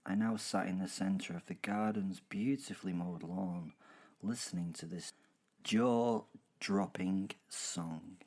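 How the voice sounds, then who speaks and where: Male actor as a werewolf narrator, wryly sentimental yet menacing; low-leaning voice, unhurried, halting dramatic pauses. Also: exaggerated voice. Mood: hushed awe. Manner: halting, repeats words, hushed dramatic pauses. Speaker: narrator of a horror audio drama. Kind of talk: dramatic first-person storytelling.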